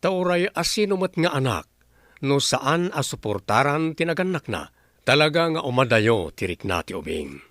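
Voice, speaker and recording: A man presents a radio drama program as its host and announcer. His voice sits at a medium pitch, with scripted delivery and a dry, close sound. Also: very animated, moderate pace, a bit bright, no room sound. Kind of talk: scripted advice and credits.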